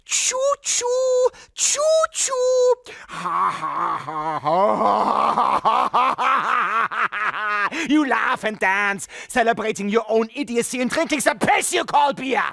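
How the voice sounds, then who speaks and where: A man's voice: extremely high pitch, big pitch swings, takes it slowly, gravelly, pushed and bright, no echo, exaggerated voice. An actor playing a mocking, sneering male villain in a theatrical video game scene. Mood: scornful, mocking. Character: mocking, sneering foreigner scorning American idiocy.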